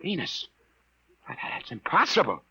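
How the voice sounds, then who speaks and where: Brash, rattled private eye, sharp-voiced. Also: male voice, fairly high pitch, a little slow, gravelly, slightly rough, full character voice. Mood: suspicious. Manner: slangy, blunt, excitable. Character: brash, rattled male private detective held captive. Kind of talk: 1950s radio drama acting. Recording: muffled vintage 1950s radio recording.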